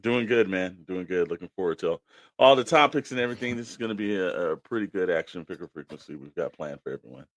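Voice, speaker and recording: Deep-voiced, laid-back male co-host. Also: lively intonation, quick pace, dry recording. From Australia. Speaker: podcast co-host. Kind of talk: casual chat.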